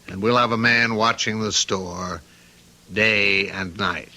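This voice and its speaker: An actor playing a steady, plain-spoken male police sergeant, measured and matter-of-fact, in a radio drama. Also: on the low side, heavy background noise. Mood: earnest, matter-of-fact. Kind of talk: old-time radio drama acting.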